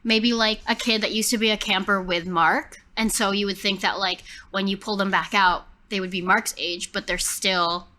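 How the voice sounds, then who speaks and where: A woman's voice: medium pitch, fairly even intonation, normal speed, hardly any pauses, bright, forceful tone. A woman playing a tabletop role-playing game, improvising the story in a casual, conversational way.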